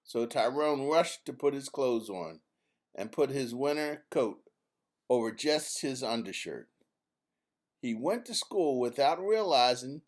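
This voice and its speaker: A man reading his own children's book aloud as an expressive, emphatic storyteller. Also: a little high.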